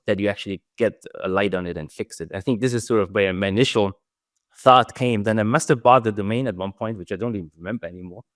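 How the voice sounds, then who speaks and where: Austrian man, soft trailing answers, dry mic. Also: on the low side, no echo. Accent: Austrian German. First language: German. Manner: thoughtful, restarts phrases, trails off softly. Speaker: security researcher guest. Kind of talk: casual interview answers.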